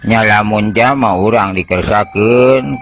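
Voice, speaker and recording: A man's voice: lowish voice, a little slow, fairly bright tone, soft background music. A man voicing an easygoing, boastful old farmer grandfather in a spoken folktale.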